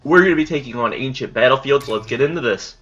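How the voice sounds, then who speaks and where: A man's voice: medium pitch, fast, a bit bright, husky, muffled recording, soft background music. A man hosting casual gameplay commentary, sounding stuffy in the nose after just waking up, chatty and self-deprecating. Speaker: gaming channel host. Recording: game sound and gunfire behind.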